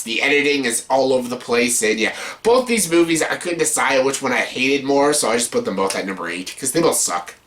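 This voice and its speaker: A man's voice: medium pitch, pushed and bright. A man giving a casual ranked review rant as a video reviewer, blunt, exasperated and swearing heavily.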